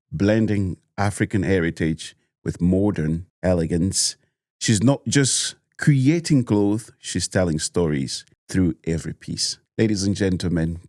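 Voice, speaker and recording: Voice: low-pitched, darkish tone, no echo, studio quality. A podcast host interviewing a guest, enthusiastic and full of encouraging hums. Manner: frequent back-channel hums, enthusiastic. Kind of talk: interview hosting.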